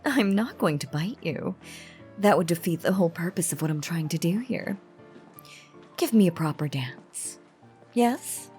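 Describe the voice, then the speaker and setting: Slow, low-voiced vampire woman, acted out by a woman. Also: expressive, a little mellow, faint music behind. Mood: playful, coaxing. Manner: theatrical, archaic, velvety formal phrasing. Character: elegant ancient female vampire, teasing, coaxing, darkly playful. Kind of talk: scripted roleplay audio drama.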